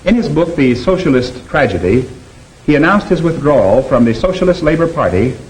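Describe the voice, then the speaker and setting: A man narrating a 1950s documentary film in a steady, grave, explanatory tone. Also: a little high, brisk, poor audio.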